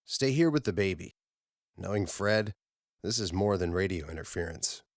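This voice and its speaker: Man as a steady, low-voiced mission leader. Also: normal speed, dry recording, clean studio sound. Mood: calm, decisive. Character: steady male space-mission leader, dry and commanding.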